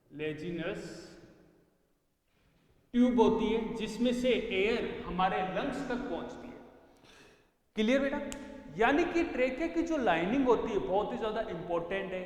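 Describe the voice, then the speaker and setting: High-voiced man dictating in an echoey hall. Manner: slow dictation, repeating terms, asks "बेटा?" and answers himself. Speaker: biology teacher. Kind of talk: classroom lecture dictating notes. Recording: echoey, reverberant classroom.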